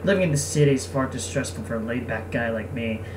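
A man's voice: medium pitch, fast talker, roomy sound, recorded from a distance, background noise, pitched below the performer's own voice, close to an everyday voice. A man voicing a struggling, sarcastic young male craftsman in a visual novel game. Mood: weary grumbling. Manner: weary, sarcastic grumbling. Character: grumpy, struggling young male craftsman, laid-back at heart.

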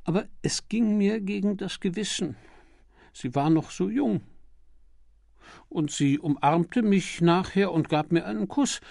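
A man's voice: high voice, unhurried, vocal fry, darkish tone, no room sound, clean studio sound, voice pushed up. A man voicing a timid, prim and apologetic male witness in an audiobook, earnest and easily flustered. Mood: earnest, embarrassed. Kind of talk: audiobook character dialogue.

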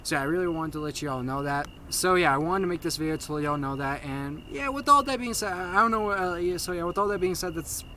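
A young man who stutters, giving a casual update to camera in a halting way, repeating and restarting his phrases. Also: a little high, sharp tone, a little hiss or hum. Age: young adult. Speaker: video maker giving a channel update. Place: outdoors at night on a paved path.

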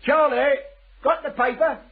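A tinny old radio drama recording has a roadside café man. He is high-pitched, casual and obliging, with chummy clipped phrases. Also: steady tone, measured pace. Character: chummy, obliging working-class male roadside café owner. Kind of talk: British radio thriller acting.

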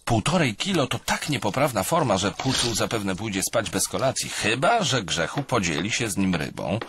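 A man's voice: fairly low pitch, very animated, normal speed, fairly bright tone, husky. A man reading scripted humorous narration in a didactic, wry manner, with music underneath.

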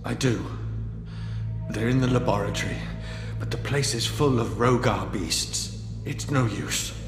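An actor playing a wounded older monk healer in a fantasy game, strained with pain, halting and pleading. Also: male voice, mid-range voice, close to an everyday voice. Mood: weary. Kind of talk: fantasy game cutscene acting. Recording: echoey hall with music underneath.

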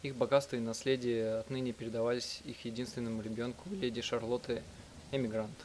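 A man reading out a live translation of a visual novel in a halting, self-correcting way. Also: mid-range voice, little pitch movement, a little mellow, a little hiss or hum. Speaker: let's player reading out translations. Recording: music behind the voice. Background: game music.

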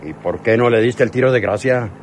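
A man's voice: mid-range voice, fairly quick, slight background noise, lightly acted. Man acting an arrogant, domineering outlaw boss. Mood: scornful. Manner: domineering, scornful. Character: arrogant, callous male outlaw gang leader. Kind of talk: radionovela acting.

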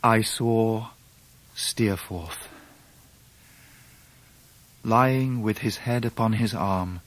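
An actor playing an earnest grown gentleman who narrates his own story in a radio play, with an English accent and measured, vivid delivery. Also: lowish voice, no echo. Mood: grave, sorrowful. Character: grown David Copperfield, earnest grieving male narrator. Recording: noisy surf and wind behind voice.

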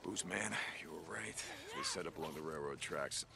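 A man playing a gruff, weary American biker survivor, loyal to his own, in a video game, with a gravelly voice. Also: extremely low pitch, normal speed, noisy background, exaggerated voice. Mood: gruff, determined.

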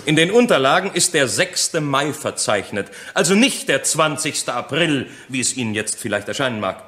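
A shrewd defence lawyer in a crime radio drama. He speaks at a medium pitch and builds his case methodically, with formal, pointed questions and rhetorical jabs. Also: expressive, normal speed, sharp tone. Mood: triumphant, pointed. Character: shrewd, insistent male defence lawyer, methodical cross-examiner. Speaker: actor in a courtroom radio play.

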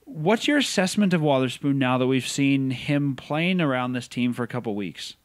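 A mid-pitched Canadian man with a casual, chatty way of talking. He co-hosts a sports podcast, reacts readily and moves easily between points. The recording is dry and close. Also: expressive, normal speed, no room sound. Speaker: podcast co-host.

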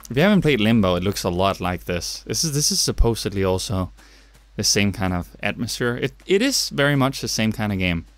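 A man's voice: lowish voice, animated, brisk, no room sound. Danish guy doing game commentary, bouncy voice, dry room, cracks jokes at himself. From Denmark. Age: young adult. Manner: jokey, chatty, self-mocking asides. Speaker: gaming YouTuber. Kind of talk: let's play commentary. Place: home gaming setup, indoors.

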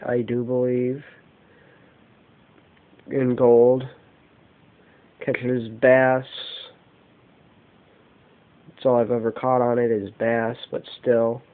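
A man giving an unscripted show-and-tell as an amateur vlogger, talking in halting, short phrases on a camera microphone indoors. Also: mid-range voice. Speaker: amateur fishing vlogger showing lures. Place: indoors, carpeted room at home. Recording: dull, muffled lo-fi home video.

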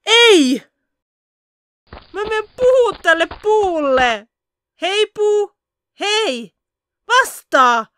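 Cartoon ninja boy, played by a woman: piercingly high, hot-headed, excitable, swooping delivery. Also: long pauses. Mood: loud, frantic. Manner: excitable, exclaiming, stammering when startled. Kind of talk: fan parody anime dub.

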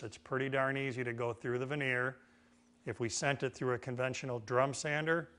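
A man's voice: medium pitch, fairly bright tone. A middle-aged man with an American accent giving an informal, folksy hands-on instructional demonstration as a woodworking teacher. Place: indoor woodworking shop.

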